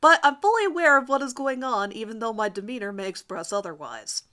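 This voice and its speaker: Woman playing a smug teenage charmer, lively and bright. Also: on the high side, brisk. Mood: smug, calculating. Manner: self-assured, formal wording. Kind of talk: dramatic reading of first-person fan fiction.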